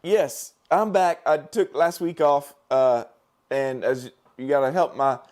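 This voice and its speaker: A middle-aged man, hoarse and losing his voice, hosting a casual live stream as a dental lab technician, rambling with fillers and restarts while he works and talks to viewers. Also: fairly high pitch, fairly even intonation, takes it slowly, a little mellow.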